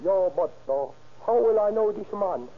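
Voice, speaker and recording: A deferential smuggling courier in a vintage radio crime drama. His voice is high for a man, with an obedient, questioning delivery. The recording is muffled and narrow, like an old broadcast. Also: lively intonation, normal speed. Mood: deferential, uncertain.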